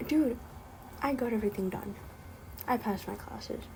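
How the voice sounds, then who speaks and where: A young woman with a slight lisp talks in a loose, rambling rant, full of ums and exasperated asides. Her voice is mellow and gravelly, with faint noise under the recording. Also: on the high side, moderate pace, poor audio. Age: young adult. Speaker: vlogger. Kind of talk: casual spoken rant.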